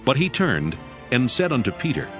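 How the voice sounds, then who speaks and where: Guy narrating the bible, formal and measured, mid pitch but lively intonation, music behind. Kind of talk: scripted dramatic scripture narration.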